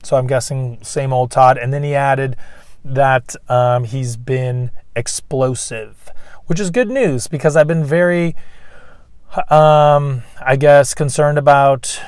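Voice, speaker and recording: A man with a cold hosting a casual sports fan video, chatty with lots of fillers and throat clearing. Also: mid-range voice, slow, darkish tone, dry recording, clean studio sound. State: losing his voice, getting sick. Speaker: YouTube fan channel host. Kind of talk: casual sports preview vlog.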